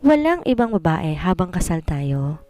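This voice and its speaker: A woman's voice: on the low side, big pitch swings, moderate pace, warm tone, no room sound, faint music behind. A woman voicing a cold, direct, dangerous young man in an audio romance story, speaking tersely. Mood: cool, challenging.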